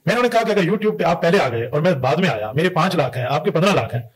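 Very fast, husky Pakistani man. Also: on the high side, animated. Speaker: podcast guest, mufti. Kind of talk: podcast conversation. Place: indoor podcast studio. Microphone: close boom-arm podcast mic.

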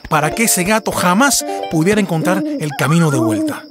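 A male narrator tells a children's folk tale in a scripted storytelling style. His pitch moves in lively, wide swings, and music plays beneath him. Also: fairly quick.

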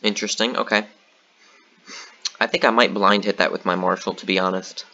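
A man's voice: lowish voice, fairly quick, lo-fi sound. A man giving casual commentary on his own board game match, thinking aloud with lots of ums and uhs. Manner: thinking aloud, relaxed, many fillers.

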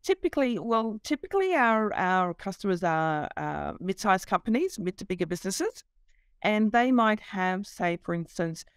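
Middle-aged woman on a video call, smooth clear voice, dry sound, lots of ums. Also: medium pitch, sing-song, moderate pace, no room sound. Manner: fluent explainer, many fillers. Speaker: company CEO, guest. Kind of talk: explaining her business in an interview. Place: office.

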